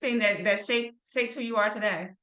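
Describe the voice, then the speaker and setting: A woman hosts a podcast interview in a medium-pitched voice. She is warmly affirming, answering her guest with soft words of agreement before she moves on to her questions. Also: normal speed. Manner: affirming, soft back-channel responses. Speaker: podcast host. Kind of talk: interview hosting.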